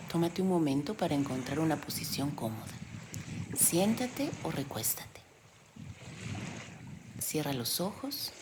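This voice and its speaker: A woman leading a guided meditation in a gentle, soothing delivery with pauses. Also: deep voice, a little hiss or hum. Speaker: meditation guide, yoga teacher. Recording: steady loud sea-wave background sound. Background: sound of ocean waves.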